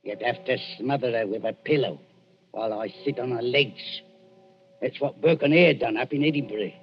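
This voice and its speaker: An actor as a gravelly, callous Cockney thug. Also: male voice, medium pitch, normal speed, natural voice. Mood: callous, scornful. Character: callous, greedy Cockney male body-snatcher thug. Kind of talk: 1940s radio thriller acting. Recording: muffled vintage radio recording, music behind.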